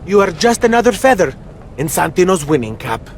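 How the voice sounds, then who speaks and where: A man's voice: high-pitched, big pitch swings, a little fast, slight background noise. A man playing a goofy, proud comic wrestler in a video game, boasting pompously in broken English. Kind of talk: video game cutscene acting.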